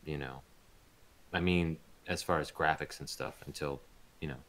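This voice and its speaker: Male interview guest, extremely low voice, laid-back, halting conversational delivery. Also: fairly even intonation, fairly bright tone. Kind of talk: casual chat.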